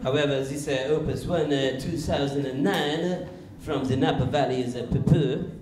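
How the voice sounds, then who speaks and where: A man's voice: medium pitch, moderate pace, a little mellow, a little hiss or hum, natural voice. A male stand-up comedian voicing a snobbish Frenchman with a French accent, hesitant and disdainful, in an echoey club recording. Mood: haughty. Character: snobbish Frenchman dismissing foreign wine.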